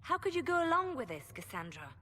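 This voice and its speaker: An actress playing a compassionate, indignant adviser in a video game, with a slightly husky voice and reproachful, intent delivery. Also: female voice, sits high, sing-song, fast talker, fairly bright tone, big-room sound. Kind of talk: video game cutscene acting.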